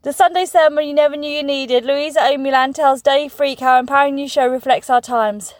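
Middle-aged lady vlogging, gushy and excitable, voice sits a bit high. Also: fairly quick, dry recording, clean studio sound. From England. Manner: excitable, gushing, chatty. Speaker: comedian vlogging her tour. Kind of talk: casual vlog chat to camera.

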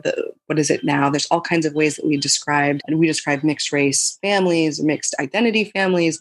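A woman in her thirties from the United States, answering interview questions thoughtfully, often restarting and saying "you know". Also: lowish voice. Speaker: podcast guest.

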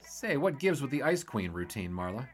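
A man's voice: mid-range voice, very animated, normal speed, faint music behind. An American man playing a wisecracking, flirtatious 1920s newspaper sportswriter in a period audio drama. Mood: needling. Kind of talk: 1920s period radio-style acting.

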